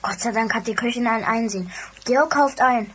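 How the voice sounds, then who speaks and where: Woman acting a sneaky kind cook from a kids audio drama, high voice, bit of hiss and clicks. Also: moderate pace, fairly bright tone, natural voice. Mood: warm, conspiratorial.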